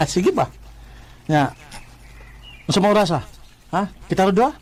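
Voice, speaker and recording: A man's voice: sits high, big pitch swings, unhurried, music in the background. A man with a Cebuano accent playing a jovial, teasing best friend who talks in chummy exclamations in a radio drama. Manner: chummy, exclamatory, repetitive tags. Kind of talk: Bisaya radio drama acting.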